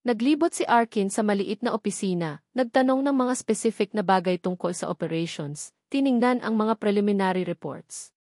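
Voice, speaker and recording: A woman's voice: medium pitch, a little mellow, dry recording, clean studio sound. A woman with a Filipino accent reading scripted narration of a romance story.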